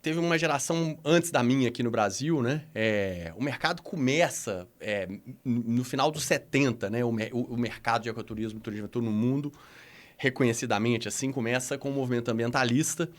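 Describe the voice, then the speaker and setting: A man's voice: mid-range voice, brisk. Reflective man with a Minas Gerais accent. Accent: Minas Gerais Portuguese. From Minas Gerais, Brazil. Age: middle-aged. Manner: reflective, many restarts and "né" tags. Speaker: guest. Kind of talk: podcast interview storytelling.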